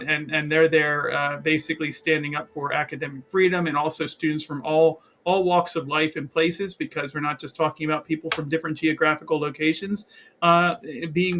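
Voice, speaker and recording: A man playing a tabletop roleplaying game, improvising story narration in a halting, rambling way and often repeating words. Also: fairly high pitch, fairly bright tone.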